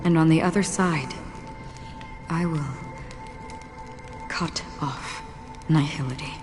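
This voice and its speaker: A woman playing a solemn, wistful wandering swordswoman in a video game, speaking in a measured, reflective way. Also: low voice. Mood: wistful, resolute. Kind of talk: English video game voice acting. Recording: long reverb under loud music.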